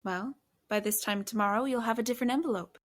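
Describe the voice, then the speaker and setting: A woman acting as an uneasy, principled heroine in an audio drama, speaking in a measured, guarded way. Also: on the high side, close to an everyday voice. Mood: guarded, probing. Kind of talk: scripted audio drama narration and dialogue.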